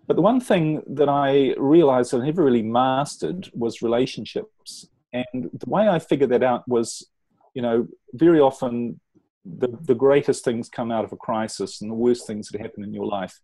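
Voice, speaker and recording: A middle-aged New Zealand man speaks in a warm, mellow tone, earnest and measured as he pauses and restarts his sentences. The sound is dry and close, with no room around it. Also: medium pitch, no room sound. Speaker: relationship coach. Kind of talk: interview guest telling his story.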